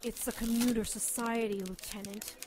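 A woman's voice: fairly high pitch, fairly even intonation, normal speed, noisy background, natural voice. A woman playing a defiant person under police questioning in an audio drama, exasperated and snappy.

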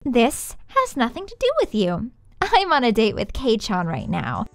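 A woman's voice: fairly high pitch, huge pitch swings, a little slow, no room sound, exaggerated voice. A woman voicing a smug teenage anime girl with a mock-sweet, taunting way of talking.

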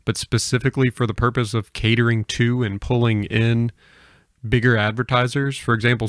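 Guy podcast host, flat lowish voice, measured questions, dry sound. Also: smooth, no room sound. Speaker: podcast interviewer. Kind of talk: casual interview questioning.

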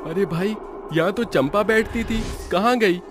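A man's voice: sits high, a little slow, pitched above the performer's own voice. A man voicing an ordinary village man in a cartoon story, plaintive and exclamatory. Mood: puzzled. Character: ordinary village man, a complaining male customer. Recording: music behind the voice.

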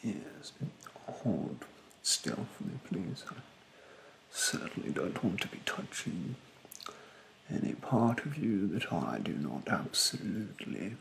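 A young man plays a condescending male spa therapist in an ASMR roleplay. His soft, low voice creaks and drifts into whispers as he talks very slowly, with haughty pauses. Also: big pitch swings, reverberant, slight background noise, exaggerated voice, poor audio. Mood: haughty, fussy. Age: young adult. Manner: haughty, condescending, halting delivery. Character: rude, snobbish male facial spa therapist. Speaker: ASMR performer. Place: small bedroom, indoors. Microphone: close to the microphone.

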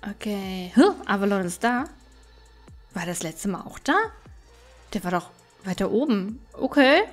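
A woman's voice: mid-range voice, animated, a little slow, faint music behind. A woman giving casual, chatty gameplay commentary, thinking aloud as she plays. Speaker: let's player.

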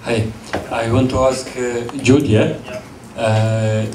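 A man in the audience asking the panel a question, slow and hesitant. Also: mid-range voice, level tone. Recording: distant echoey room with noise.

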